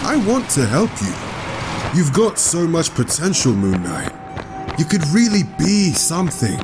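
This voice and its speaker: A man's voice: on the high side, huge pitch swings, measured pace, exaggerated voice, poor audio. A man playing a gleeful, sadistic supervillain who taunts theatrically and mockingly in a comic book dub. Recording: dramatic music behind voice.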